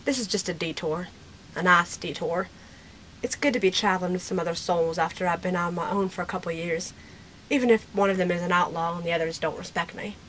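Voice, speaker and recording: A woman's voice: lowish voice, fairly quick, a bit bright, a little hiss or hum, natural voice, poor audio. A woman playing a stubborn, outspoken sixteen-year-old girl with folksy Western phrasing in an amateur audio drama. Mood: defiant, matter-of-fact.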